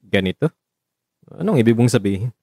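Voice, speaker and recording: A man acting as a devoted, caring partner in an audio drama, earnest, coaxing and gently scolding with emotion. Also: mid-range voice, animated, fairly quick, breathy edge, no room sound, clean studio sound. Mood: reassuring.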